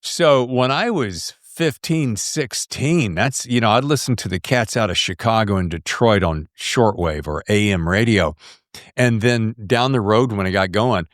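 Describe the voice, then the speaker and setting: A man's voice: fairly low pitch, animated, measured pace, dry recording, clean studio sound. A middle-aged man hosting a podcast, chatting in an informal, jokey, salty-tongued way that wanders into tangents. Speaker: podcast host. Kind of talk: casual interview chat.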